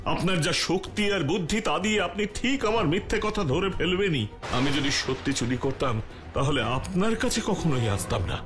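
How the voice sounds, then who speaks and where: A man's voice: on the high side, expressive, moderate pace, natural voice. A man voicing an anxious, deferential bank manager in a children's cartoon, imploring and repeating his phrases. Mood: nervous, deferential. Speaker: voice actor in a cartoon. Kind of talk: dubbed children's cartoon dialogue.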